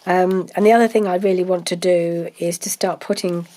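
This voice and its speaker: A woman's voice: lowish voice, steady tone, fairly quick, mellow. Congested woman chatting while crafting. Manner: chatty, rambling with many ums and restarts. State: congested with a cold, coughing. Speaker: craft vlogger. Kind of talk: casual crafting chat.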